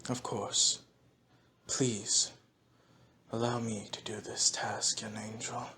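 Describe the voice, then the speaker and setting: Audio drama casting: man playing polite unflappable manservant, measured and very slow, monotone, over faint ambient noise. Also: lowish voice. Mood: calm, deferential. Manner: formal, measured, hushed. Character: courteous, unflappable, servile male butler. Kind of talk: audio roleplay acting.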